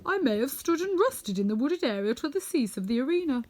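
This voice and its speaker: A gentle metal man from a storybook, played by a woman reader. The voice is high and sentimental, with an earnest fondness. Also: sing-song, a little fast, a little hiss or hum. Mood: grateful. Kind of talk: children's storybook read-aloud.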